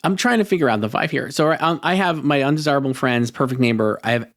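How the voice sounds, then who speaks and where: Man, mid-pitched voice, podcast co-host, casual awards talk, tentative and conversational. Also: a bit bright. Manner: hedging, tentative, halting conversational delivery. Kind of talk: casual film awards discussion.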